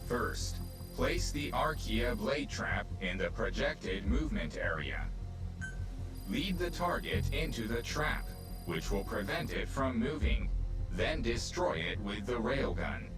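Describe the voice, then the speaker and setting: Dry mission briefer, lively intonation, set back from mic, game score behind. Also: male voice, medium pitch, takes it slowly, roomy sound. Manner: explanatory, dry. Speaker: game character. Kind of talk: video game cutscene acting. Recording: distant game cutscene with music. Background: music score.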